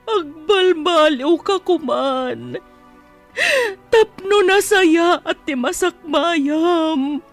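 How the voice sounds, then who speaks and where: A woman playing an anguished mother pleading with her grown son in a tearful, drawn-out way, in Ilocano-accented radio drama style. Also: extremely high pitch, heavily acted. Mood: pleading, grieving. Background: faint background music.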